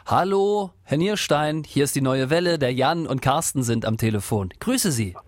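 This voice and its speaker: A man's voice: fairly high pitch, animated, moderate pace, no echo, studio quality. A man from Rostock hosting a radio podcast, teasing his co-host and chatting with callers. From Rostock, East Germany. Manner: joking, teasing. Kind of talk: casual podcast chat. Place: studio. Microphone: studio mic.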